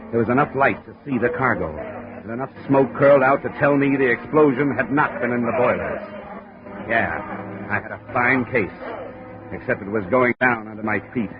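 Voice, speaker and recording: An American actor playing a tough, wisecracking insurance investigator in a 1950s radio drama, clipped and world-weary. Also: male voice, medium pitch, moderate pace, natural voice. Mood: tense, grim narrating. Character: hard-boiled, wisecracking male insurance investigator. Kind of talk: 1950s radio detective drama acting and narration. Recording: noisy, muffled 1950s radio recording.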